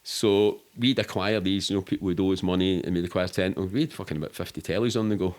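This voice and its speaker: A man's voice: sits low, fairly even intonation, fast. A middle-aged Scottish man telling stories casually as an interview guest, rambling and sweary in a matter-of-fact way. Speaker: podcast guest.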